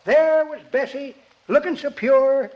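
An actor playing a cheerful, rambling old codger with folksy speech in a 1940s radio comedy. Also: male voice, very high voice, a little slow, muffled recording. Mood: rambling, amused. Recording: noisy vintage radio recording.

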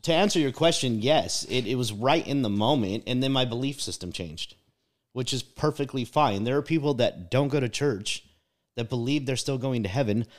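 A man with a mid-range voice, neither high nor low, talks through his views in a casual podcast chat. He is reflective and emphatic, often using "like" and tagging his points with "right?". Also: normal speed.

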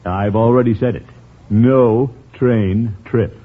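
An actor playing a pompous, blustery middle-aged uncle in a 1950s radio comedy. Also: male voice, medium pitch, slow. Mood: exasperated, firm. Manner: pompous, theatrical. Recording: tinny, noisy old radio recording.

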